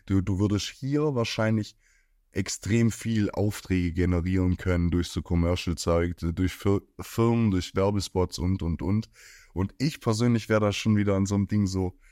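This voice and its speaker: A man's voice: deep voice, normal speed, mellow, no room sound, studio quality. A man from near Stuttgart hosting an interview, asking long rambling questions in a casual tone. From near Stuttgart, Germany. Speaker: podcast host.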